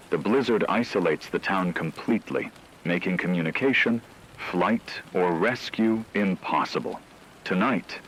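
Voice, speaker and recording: A man playing a grave radio reporter, narrating a supernatural catastrophe in a solemn, deliberate, scripted news style. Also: deep voice, fairly bright tone, a little hiss or hum, low-quality recording.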